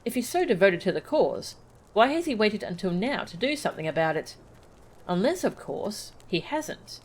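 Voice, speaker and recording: A woman voicing an earnest, cautious scholar from a video game with formal, old-fashioned, measured speech. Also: medium pitch, sing-song, darkish tone, a little hiss or hum, close to an everyday voice. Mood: suspicious, musing. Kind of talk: reading video game dialogue aloud.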